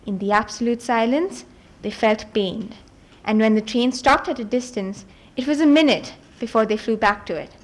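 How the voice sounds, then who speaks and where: Casting: woman with a high voice for an expressive live reading of a fantasy novel, small stumbles left in. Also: normal speed. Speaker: reader at a book event.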